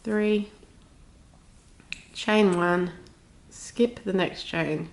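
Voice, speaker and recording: Snuffly, creaky-voiced woman teaching crochet. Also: lowish voice, very slow, slight background noise. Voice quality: snuffly, slightly stuffed-up nose. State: has a cold. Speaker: crochet tutorial host. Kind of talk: casual step-by-step craft tutorial.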